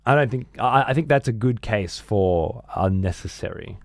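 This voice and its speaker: A hoarse man casually commenting on a game he is streaming, rambling and swearing freely. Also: on the low side, moderate pace, no room sound.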